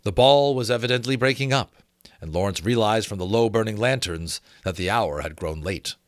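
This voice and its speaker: A man's voice: on the low side, normal speed, no echo, clean studio sound. A man narrating a story expressively as a reader, with casual commentary.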